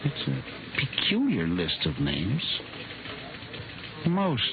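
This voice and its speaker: A man's voice: mid-range voice, huge pitch swings, slow, creaky, heavily acted. An actor playing an earnest, timid male records clerk with hesitant, deferential speech in a 1950s radio drama. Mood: puzzled. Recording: muffled, noisy old radio broadcast.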